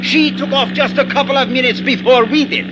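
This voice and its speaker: High-pitched boastful Latin American pilot. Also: male voice, steady tone, fairly quick, highs cut off. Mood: mock hurt. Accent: Latin American Spanish. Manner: theatrical, bragging, sly. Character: boastful, wheedling Nicaraguan bush pilot, fast-dealing and chummy. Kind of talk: 1950s radio drama acting. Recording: old radio, loud engine noise behind.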